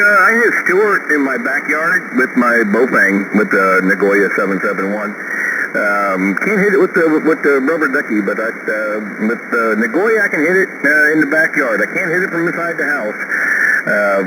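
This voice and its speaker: A man's voice: medium pitch, moderate pace. A man chatting casually as an amateur radio operator over shortwave, in an informal, folksy, mildly profane way. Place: two-way radio contact received on shortwave. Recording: noisy shortwave radio transmission with static.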